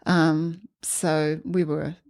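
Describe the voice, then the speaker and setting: German-accented woman chatting on a podcast, lowish voice, dry close sound, lots of ums. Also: flat delivery, extremely slow, a little mellow, no room sound. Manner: thoughtful, hesitant with many ums. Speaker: podcast guest. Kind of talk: casual interview chat.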